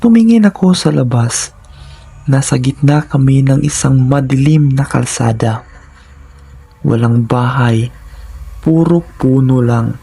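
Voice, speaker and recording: A man narrates a horror story with suspense and drama. His delivery is slow and his tone is dark and husky. The voice follows a scripted, first-person storytelling style. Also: mid-range voice.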